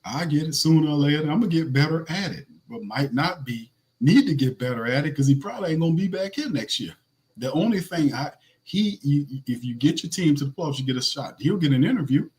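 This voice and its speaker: Raspy guy hosting a sports pod, casual slangy talk, reads off stats. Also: a little high, lively intonation, a little fast, a little mellow, poor audio. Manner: loose, slangy, restarts a lot, reads stats. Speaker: podcast host.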